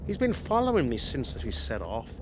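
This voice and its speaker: An actor playing a chatty, wily older ex-cabbie in a radio drama, with a Northern Irish accent and a wry, insistent manner. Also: male voice, mid-range voice, wildly swooping pitch, normal speed, a little hiss or hum. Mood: stubborn. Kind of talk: naturalistic radio drama acting.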